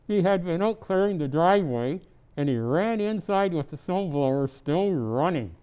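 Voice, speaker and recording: A man's voice: on the high side, lively intonation, moderate pace, warm tone, clear, resonant tone. A man reading aloud as a storyteller in the role of Santa, chatting casually between pieces. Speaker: storyteller presenting as Santa Claus.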